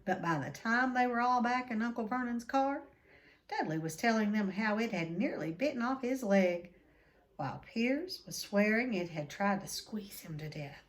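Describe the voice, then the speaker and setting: A woman reading a children's book aloud expressively, with deliberate dramatic emphasis. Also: fairly high pitch, animated. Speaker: read-aloud storyteller.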